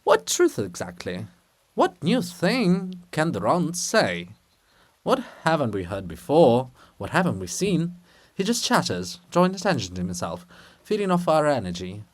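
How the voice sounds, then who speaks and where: Man acting a loud, scoffing ruler. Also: a little high, wildly swooping pitch, unhurried, full character voice. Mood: scornful. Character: blustering male ruler statue, scornful and bluff. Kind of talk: dramatic reading of a stage play.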